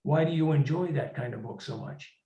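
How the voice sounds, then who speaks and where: A man's voice: fairly high pitch, brisk, warm tone, lightly acted. A man voicing a reserved male psychiatrist who asks short, formal, noncommittal questions in a story reading. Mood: probing, neutral. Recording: roomy, slightly dull room recording.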